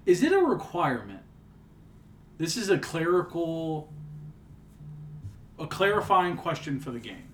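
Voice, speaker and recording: A man co-hosting a casual podcast, joking and banter-driven, with a southern US accent, mumbling one moment and loud the next. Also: on the high side, very animated, unhurried, pauses often. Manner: playful, jumps between mumbling and loud exclaiming. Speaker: podcast co-host. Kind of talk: casual chat.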